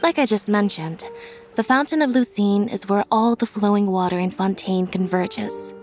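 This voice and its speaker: A reserved young woman from a video game, played by an actress, with a mid-pitched voice. The delivery is measured, thoughtful and matter-of-fact, over faint music. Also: darkish tone, close to an everyday voice. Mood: serious, explanatory. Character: composed young female magician's assistant, reserved and thoughtful.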